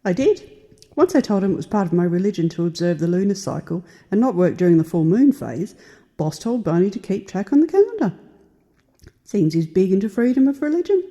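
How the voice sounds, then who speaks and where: A woman's voice: mid-range voice, very animated, fairly quick, warm tone. A woman playing a chipper, earnest, folksy frontier gal in a horror-comedy audio drama. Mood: covering up.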